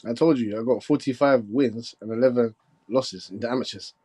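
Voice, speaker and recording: A young man in his twenties who rattles off his boxing opinions at a quick clip, pressing each point with a "you get me". His voice sits at an ordinary middle pitch and sounds dry and close, with no room around it. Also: a little mellow, husky, no room sound. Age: 20s. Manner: quick, argumentative, keeps tagging "you get me". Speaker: boxing podcast co-host. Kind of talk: casual chat.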